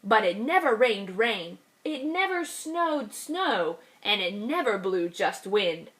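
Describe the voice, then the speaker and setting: A woman teacher reading a picture book aloud to young children, with a lively, expressive storytelling delivery. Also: medium pitch, takes it slowly, poor audio. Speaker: kindergarten teacher.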